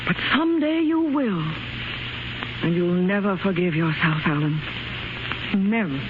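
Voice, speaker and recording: A woman's voice: fairly low pitch, animated, measured pace. An actress playing a desperate estranged wife and mother, impassioned and pleading, in 1930s radio drama style. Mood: wounded bitterness. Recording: noisy muffled 1930s radio recording.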